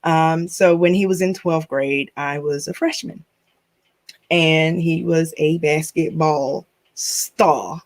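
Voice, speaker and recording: A woman's voice: sits low, fairly even intonation, slow pace. A woman hosting a podcast, chatting casually, teasing her guest and laughing often. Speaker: podcast host. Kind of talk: casual chat.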